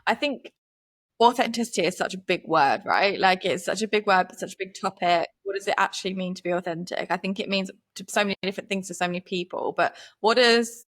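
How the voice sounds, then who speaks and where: A woman's voice: medium pitch, a little fast, a bit bright. Chatty British woman hosting a podcast. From United Kingdom. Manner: enthusiastic, chatty, says "like" often. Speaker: podcast host. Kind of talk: podcast interview.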